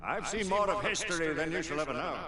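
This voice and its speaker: A stern, ancient lord of a knightly order, acted by a man, holds forth in formal, commanding oratory. The pitch moves widely, with a murmuring crowd behind the game audio. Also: animated, quick pace, lightly acted, poor audio. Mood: stern warning. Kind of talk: cinematic video game drama.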